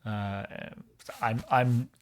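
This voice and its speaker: A man from Hong Kong, a podcast guest in casual conversation, explaining things in a measured way. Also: medium pitch, steady tone.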